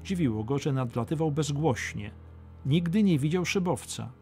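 A man's voice: a little high, lively intonation, moderate pace, a little mellow. A man reading a scripted, dramatic history audiobook as narrator. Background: music.

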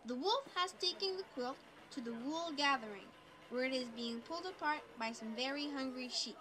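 A woman's voice: sits high, lively intonation, normal speed. A woman voicing a perky talking map, a helpful guide with a sing-song, instructive manner, in a live puppet show. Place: outdoor farmyard puppet show. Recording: outdoor ambient noise.

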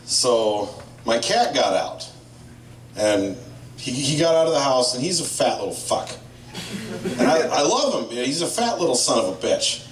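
A man's voice: mid-range voice, a little slow. A man in his thirties doing a profane, rambling stand-up set in a small bar, on a handheld mic filmed from a distance. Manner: profane, rambling storytelling with lively impressions. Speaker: stand-up comedian. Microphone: handheld mic, filmed by a far camera. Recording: echoey bar room, camera far off.

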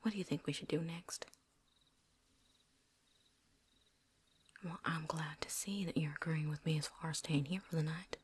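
A woman playing a seasoned, wary but friendly vampire hunter in a hushed, folksy ASMR roleplay whisper. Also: low voice, fairly even intonation, fairly quick, slight background noise, lightly acted. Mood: teasing, friendly. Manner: hushed, folksy drawl, teasing.

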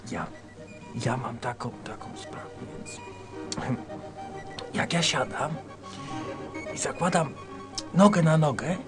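A man as a timid, halting patient. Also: a little high, expressive, over music. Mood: hesitant. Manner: halting, stammering. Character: hesitant, whiny male patient, timid and insistent. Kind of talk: comic radio sketch acting.

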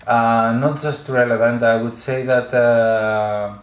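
A man in his thirties with a Greek accent, a native Greek speaker, answering interview questions in a halting way with many fillers and repeated words. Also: mid-range voice, steady tone. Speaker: musician and film co-creator. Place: studio set with couch, indoors. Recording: roomy echo.

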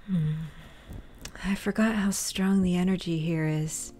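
A woman's voice: lowish voice, steady tone, slow, dark tone. A woman playing a fierce, resentful young witch, speaking an intense inner monologue with bursts of anger. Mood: brooding. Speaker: lead character. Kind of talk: audio drama acting.

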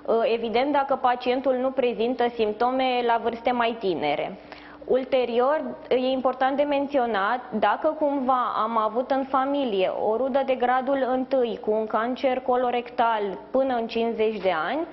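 A woman doctor speaks as an interview guest in a fairly high voice. She explains in a measured, clinical way. The sound is a little dull, with faint noise behind her. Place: indoors in a hospital, live video link.

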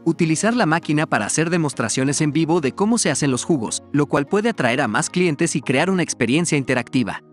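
Man, slightly high voice, scripted explainer narration, formal measured delivery, light music behind. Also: expressive. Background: background music.

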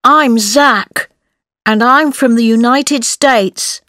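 A woman voicing children and reading prompts in a textbook recording for young learners, in a clear, careful way. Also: on the high side, takes it slowly, pushed and bright, no room sound, studio quality. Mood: cheerful. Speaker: textbook audio voice actor. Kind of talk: slow scripted textbook dialogue for children.

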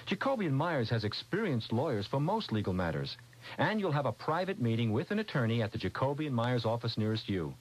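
A man's voice: mid-range voice, big pitch swings, a little fast, poor audio. A man reading a scripted 1980s radio commercial as a spokesman, taped off the radio. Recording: old cassette recording of radio.

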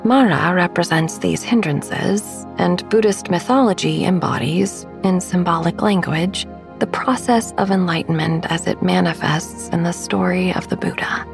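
A woman narrating a sleep meditation in a soft, breathy, gravelly voice with a soothing, unhurried delivery. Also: lowish voice, music in the background. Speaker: podcast host and meditation guide.

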